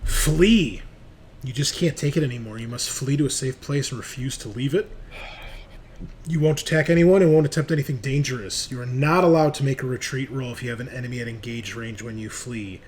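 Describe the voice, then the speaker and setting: A man acting as game master for a horror tabletop game, with a mid-pitched voice. He reads out rules and delivers dramatic narration, then drops into casual rulings. There is clear noise in the recording. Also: a little fast, a little mellow. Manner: swears casually.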